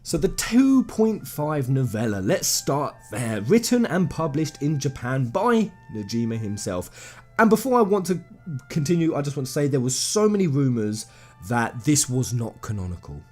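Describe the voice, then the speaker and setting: A man's voice: mid-range voice, sing-song, normal speed, darkish tone, faint music behind. A man hosting a video game channel, giving informal opinion commentary in an emphatic, slangy way with effusive fan enthusiasm, speaking straight to viewers.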